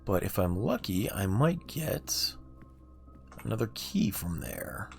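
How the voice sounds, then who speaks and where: A man streams a game and talks it through in a relaxed, muttering way. His voice sits low and gravelly, with a fry on the ends of phrases. Soft game music runs behind him. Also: slow pace. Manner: low-key, muttering to himself. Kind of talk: casual gameplay commentary.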